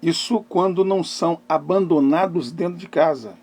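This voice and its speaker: A middle-aged man speaks as a pastor in a notably high-pitched voice. He preaches through personal testimony, placing phrases emphatically with dramatic pauses, moving between loud stress and hushed delivery. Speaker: pastor and therapist hosting a live talk.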